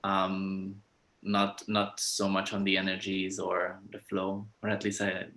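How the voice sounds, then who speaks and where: Low, bright-toned Hungarian-accented man. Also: completely flat delivery, measured pace, echoey room. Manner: hesitant, searching for words. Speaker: meditation participant. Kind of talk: casual group chat. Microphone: video call.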